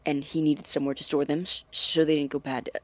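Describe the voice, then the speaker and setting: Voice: mid-range voice, flat delivery, brisk, no room sound, lightly acted. An actor playing an anxious, secretive man in an audio drama, stammering and defensive as he guards his experiments. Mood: nervous, evasive. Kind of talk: naturalistic audio drama acting.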